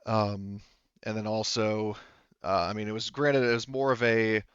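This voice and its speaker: Low-voiced rambling veteran prospector, played by a man. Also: flat delivery, fairly bright tone, clear, resonant tone, no echo, dull audio. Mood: explanatory. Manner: rambling, explanatory, halting. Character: veteran male colonial prospector, casual and forthcoming.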